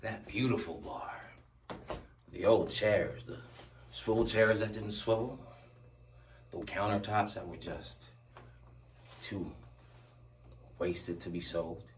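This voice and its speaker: A man acting a dramatic monologue as a bitter, unhinged character confronting an old acquaintance, halting and volatile, moving between hushed and shouted delivery. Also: on the low side, steady tone. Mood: quiet, wry. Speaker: actor performing a monologue. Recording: roomy echo, distant mic, faint noise.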